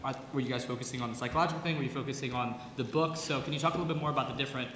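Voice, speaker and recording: A male judge talks quickly at a medium pitch. His questions are informal, encouraging and loosely put. His voice echoes in a hall with faint noise. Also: fairly bright tone. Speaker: competition judge.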